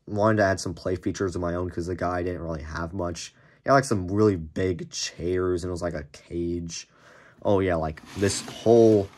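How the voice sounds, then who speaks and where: A young man with a deep, cracked, slightly hoarse voice, rambling casually with lots of fillers while showing off a toy build. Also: a little hiss or hum. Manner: rambling, many fillers and restarts. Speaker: Lego YouTuber. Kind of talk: casual show-and-tell of a build. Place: small room indoors at a table. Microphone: camera filming hands over a desk.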